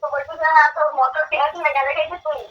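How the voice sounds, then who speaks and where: Young woman on a dull phone line, quick, insistent, questioning casual talk. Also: sits high, fairly even intonation, distant mic. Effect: telephone. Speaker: prank call recipient.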